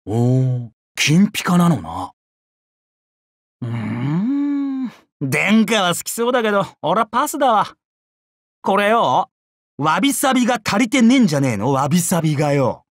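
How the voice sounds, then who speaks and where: An actor playing a brash, bloodthirsty young male samurai in a mobile game, with rough, swaggering speech. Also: high-pitched, very animated, measured pace, no echo, clean studio sound, exaggerated voice. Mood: casual, cocky. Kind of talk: Japanese mobile game character voice lines.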